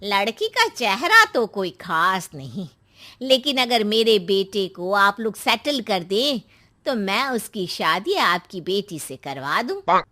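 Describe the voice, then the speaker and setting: A woman's voice: medium pitch, very animated, slow pace, bright, forceful tone, pitched below the performer's own voice, heavily acted. A woman voicing a blunt, grasping middle-aged mother of a suitor, disdainful and bargaining, in a story. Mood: dismissive, bargaining.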